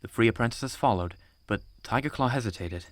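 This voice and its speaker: A man's voice: mid-range voice, fairly quick, no room sound. A man narrating an audiobook story from a script.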